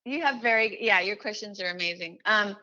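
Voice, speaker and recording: A middle-aged woman from Chile talking as an interview guest, animated, with many restarts and fillers. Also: medium pitch, a little fast, muffled recording. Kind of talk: casual interview chat.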